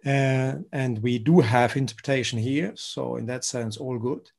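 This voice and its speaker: A man whose first language is German speaks as a guest law professor over a video call, introducing his talk in a clear, matter-of-fact way. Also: medium pitch, steady tone, unhurried, darkish tone. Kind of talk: introducing a lecture.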